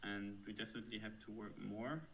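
A man's voice: lowish voice, steady tone, fairly quick, a bit bright, slight background noise, poor audio. A man in his thirties with a German accent, answering interview questions thoughtfully, with frequent fillers and restarts. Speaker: software developer guest.

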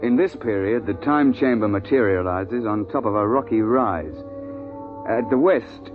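A male actor as a low-voiced safari hunter, gruff and no-nonsense, speaking with brisk, colloquial authority. It is 1950s radio drama on a tinny, band-limited broadcast with music behind. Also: close to an everyday voice. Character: gruff, no-nonsense British big-game safari guide recounting his hunt. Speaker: narrator and lead character.

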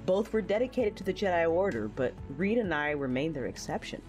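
A woman's voice: fairly low pitch, big pitch swings, a little fast, a little mellow, faint music behind. A woman playing a reflective, war-weary young female knight, narrating her memories earnestly in an audio drama. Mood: fond, nostalgic. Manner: earnest, reminiscing.

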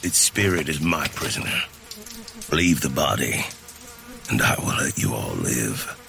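An actor playing a terse, threatening bounty hunter in a horror western audio drama. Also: male voice, a bass voice, animated, a little slow, gravelly, bright, forceful tone, a little hiss or hum, exaggerated voice. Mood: cold menace. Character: menacing male rider, bounty hunter in the Old West.

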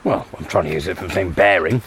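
A man's voice: sits low, quick pace. A man hosting a podcast as casual chat while out on a walk, joking and punning in a wry manner. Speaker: podcast host. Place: outdoors on a street. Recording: loud street and traffic noise.

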